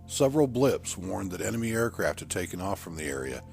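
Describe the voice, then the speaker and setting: Deep-voiced man narrating a thriller. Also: sing-song, fairly quick, creaky, darkish tone, faint music behind. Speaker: audiobook narrator. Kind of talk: scripted dramatic narration of a military thriller.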